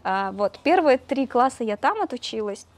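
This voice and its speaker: A woman's voice: a little high, very animated, moderate pace. Chatty young woman telling stories. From Russia. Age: young adult. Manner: chatty storytelling, frequent laughter. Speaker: guest doctor. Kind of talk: casual interview chat. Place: small studio with neon lights. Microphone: lapel mic.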